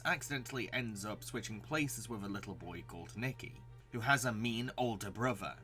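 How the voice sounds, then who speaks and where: A man's voice: fairly low pitch, sharp tone. A man reading scripted video essay narration as the host.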